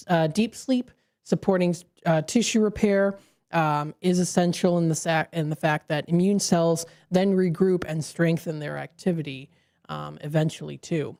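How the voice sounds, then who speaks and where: A woman hosting a radio segment speaks from the studio with a somewhat low voice and a mellow tone. She explains science to listeners, stopping often for fillers and small restarts. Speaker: radio host.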